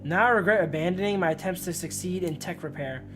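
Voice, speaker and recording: A man's voice: high voice, fast talker. A man doing casual game commentary as a streamer, reading story text aloud and chatting in loose bro-and-dude slang. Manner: slangy, informal, often addressing listeners as bro and dude. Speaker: live streamer. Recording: music playing behind the voice. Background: music.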